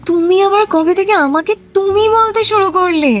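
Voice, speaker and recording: A woman's voice: very high voice, moderate pace, soft background music. A woman playing a playful, coy young woman in a romantic audio drama. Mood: playful teasing. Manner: teasing, coy. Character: playful, teasing young former schoolgirl, now working woman.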